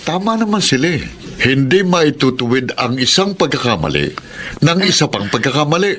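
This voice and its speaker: Actor doing an older brother, steady, moralizing, concerned, mid-pitched. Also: male voice, normal speed, slight background noise. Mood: lecturing. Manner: gently lecturing.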